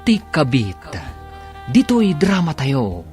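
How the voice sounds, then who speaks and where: A man with a northern Philippine accent narrating a scripted radio drama in a deliberate, dramatic way. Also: fairly high pitch, wildly swooping pitch, a little mellow. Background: music behind the voice.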